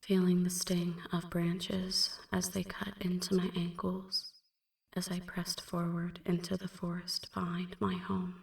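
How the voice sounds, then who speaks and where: Woman acting a hushed, slow-speaking melancholy female vampire. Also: fairly low pitch, reverberant, far from the mic. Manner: hushed, breathy half-whisper, measured and brooding. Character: melancholy female vampire recounting her dark past. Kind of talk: ASMR roleplay, slow dramatic first-person narration.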